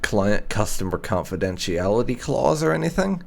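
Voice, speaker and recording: A man's voice: lowish voice, very animated, normal speed, gravelly. A man role-playing aloud as he plays a video game, improvising dry, sceptical replies with muttered hums. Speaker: gaming channel player role-playing aloud. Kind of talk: improvised in-character game roleplay commentary.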